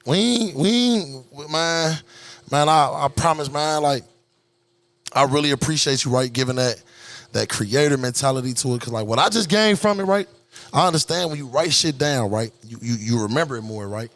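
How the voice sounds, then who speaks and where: A man's voice: fairly high pitch, moderate pace, a bit bright. A man hosting a podcast, talking in a hyped, slangy, rambling way with lots of fillers. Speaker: podcast host. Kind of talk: casual podcast interview.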